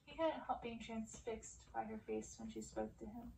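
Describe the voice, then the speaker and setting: A woman's voice: a little high, wildly swooping pitch, moderate pace, mellow. A woman reading a story aloud in an ASMR narration style. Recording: roomy, distant, faint background noise.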